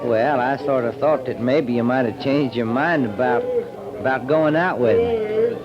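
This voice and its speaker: Actor as lively, folksy ladies' man. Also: medium pitch, a little slow, close to an everyday voice. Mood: cocky, flirtatious. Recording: muffled, noisy 1940s radio recording.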